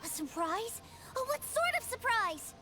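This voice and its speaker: Very high-pitched, excitable little boy. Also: unhurried, exaggerated voice. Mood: curious, excited. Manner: childlike, wide-eyed. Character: excitable, innocent little boy, awestruck by toys. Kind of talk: anime-style video game voice acting. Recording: game audio under loud music.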